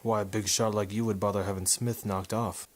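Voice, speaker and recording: Man acting a low-voiced, wry noir photographer-sleuth. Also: little pitch movement, brisk, lightly acted. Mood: cocky, needling. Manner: dry, wisecracking, hard-boiled. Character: wry, cocky male war-photographer turned detective, noir narrator. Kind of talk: 1950s noir radio drama acting and narration.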